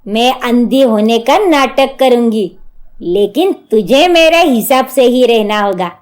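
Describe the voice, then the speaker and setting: A woman's voice: fairly high pitch, animated, slow, darkish tone. A woman voicing a bossy, hot-tempered mother-in-law in a cartoon story, theatrical and scolding in manner. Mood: threatening.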